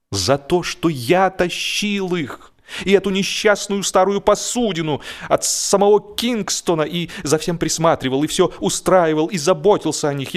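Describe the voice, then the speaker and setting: A man narrating humorous fiction expressively, with a wry, theatrical delivery. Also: medium pitch. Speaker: audiobook narrator.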